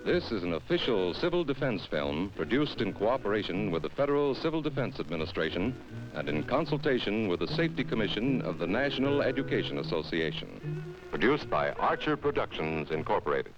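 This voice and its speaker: Casting: low-voiced American male narrator for a 1950s children's instructional film, lively inflection, emphatic teaching manner, dull vintage soundtrack. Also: fairly quick, over music.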